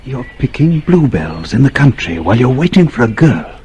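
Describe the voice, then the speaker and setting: An actor playing an ominous, chiding inner voice in a mid-century radio drama, with a husky sound. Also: male voice, medium pitch, sing-song, normal speed, a little mellow, soft background music, lightly acted. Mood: ominous, accusing.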